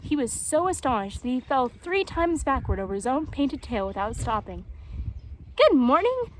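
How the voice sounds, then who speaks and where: A woman reading a children's story aloud as an expressive narrator. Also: a little high, normal speed, noisy background.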